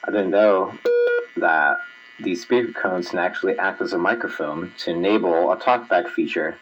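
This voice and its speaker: A man casually presenting equipment as a hobbyist, in an informal, halting manner, correcting himself as he goes. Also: deep voice, fairly bright tone, highs cut off. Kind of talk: casual hands-on demonstration. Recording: clear background noise.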